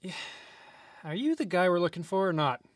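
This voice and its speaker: An impatient, exasperated government agent, as an actor plays him, barking short questions in a comedy radio play. His voice sits a bit high and sounds dry and close. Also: very animated, a little fast, no room sound. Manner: exasperated, brusque, repeats himself.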